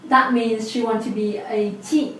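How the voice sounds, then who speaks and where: A woman's voice: on the high side, fairly even intonation, unhurried, very echoey, distant mic, a little hiss or hum. A woman in her twenties with a Vietnamese accent, patiently explaining a language lesson step by step. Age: 20s. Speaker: language teacher.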